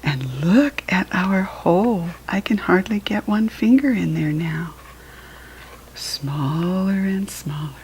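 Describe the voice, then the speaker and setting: A woman's voice: on the low side, expressive, slow, a little mellow, a little hiss or hum. An American woman reading a picture book aloud to young children, with simple, instructive asides. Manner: acts out animal sounds while reading.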